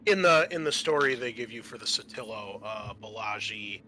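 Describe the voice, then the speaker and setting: A man's voice: on the low side, lively intonation, moderate pace. A man acting as game master, casually explaining and reviewing a roleplaying session with his players. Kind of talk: casual game debrief, explaining rules and agendas. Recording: faint background noise.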